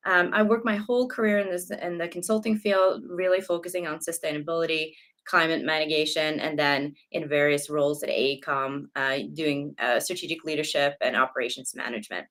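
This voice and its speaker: Low-voiced woman from Norway. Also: steady tone, a little fast, a bit bright. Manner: friendly, articulate, occasional fillers. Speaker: guest executive. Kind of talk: interview answers.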